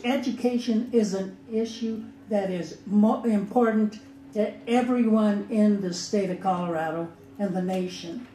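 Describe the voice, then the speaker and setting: A man whose first language is Spanish, an attorney giving earnest public testimony at a hearing, halting now and then to restart. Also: sits high, warm tone. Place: hearing room. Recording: echoey room with faint background noise.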